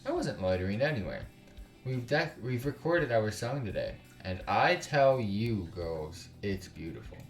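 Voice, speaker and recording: A man's voice: fairly low pitch, sing-song, measured pace. A man voicing a chatty, cheerful young woman from a visual novel, chattering and gushing. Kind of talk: visual novel character read-aloud. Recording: roomy echo, distant mic, music behind.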